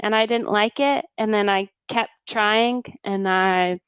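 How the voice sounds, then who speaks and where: A woman's voice: mid-range voice, fairly even intonation, a little slow, a bit bright, clear, resonant tone, no room sound. A woman working as an online English tutor, chatting easily over a video call with a lot of casual fillers. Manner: easygoing and chatty, many fillers like yeah and you know. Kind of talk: casual conversation practice.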